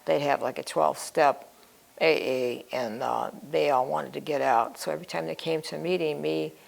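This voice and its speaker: An older woman with an American accent tells her own story in an interview. Her voice sits low and is heavily creaky and gravelly. She speaks plainly, in short clipped fragments. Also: fairly even intonation, moderate pace. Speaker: interview guest. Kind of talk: personal life-story interview.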